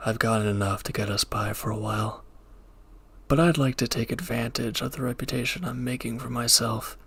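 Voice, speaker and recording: Man acting a gravelly, breathy reformed villain. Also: mid-range voice, fairly even intonation, brisk, a bit bright. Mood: soft, devoted. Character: reformed male villain, tender protective lover, gruffly firm. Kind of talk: intimate audio roleplay acting.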